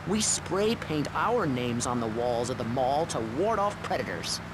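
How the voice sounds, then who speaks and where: A man's voice: mid-range voice, sing-song, unhurried. An actor playing a naive, earnest, privileged young male activist, preaching in a satirical radio debate. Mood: earnest, preachy. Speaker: activist guest on a panel show. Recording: loud car and street noise over it. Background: vehicle engine and city traffic.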